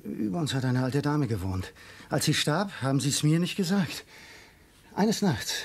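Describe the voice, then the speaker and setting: An actor playing an anxious, haunted husband in a German radio crime drama, with a dramatic delivery that swings between hushed and urgent. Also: male voice, a little high, very animated, moderate pace, slightly rough, exaggerated voice. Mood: haunted, uneasy.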